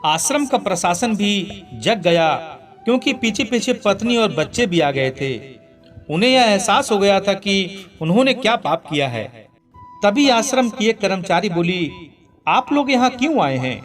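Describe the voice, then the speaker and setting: A man's voice: sits high, lively intonation, unhurried. A man narrating a scripted, sentimental moral story in an earnest, dramatic way. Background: background music.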